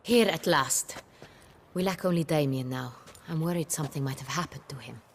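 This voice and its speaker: A resolute ruling duchess in a fantasy game cutscene. Her voice is low, and she speaks formally, with measured, imperious authority. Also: a little hiss or hum. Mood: concerned resolve. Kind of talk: dramatic video game cutscene acting.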